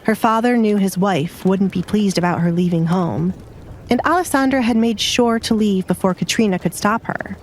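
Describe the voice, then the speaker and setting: A woman's voice: mid-range voice, normal speed, slight background noise. A woman reading scripted romance fiction as an audiobook narrator.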